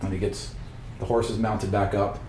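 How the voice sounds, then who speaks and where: A man narrating a tabletop game as game master in a colloquial, animated way, describing action with sound words. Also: lowish voice, a little slow, very noisy. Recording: roomy echo, music behind.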